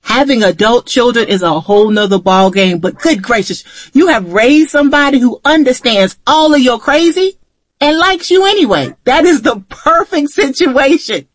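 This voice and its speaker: A woman's voice: high-pitched, expressive, moderate pace, no room sound. A middle-aged woman chatting casually in an emphatic, playful way. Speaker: mother of adult children. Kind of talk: casual conversation.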